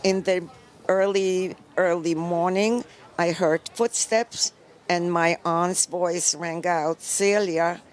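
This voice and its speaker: An older woman from Poland, giving a composed, measured account of her past in a live interview. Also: lowish voice, fairly even intonation. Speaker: Holocaust survivor interviewee.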